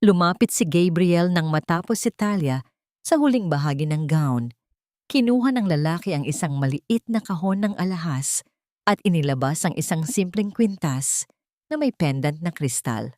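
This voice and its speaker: A woman's voice: lowish voice, lively intonation, normal speed, a little mellow, dry recording, studio quality. A woman reading a dramatic story aloud as a scripted audiobook narration.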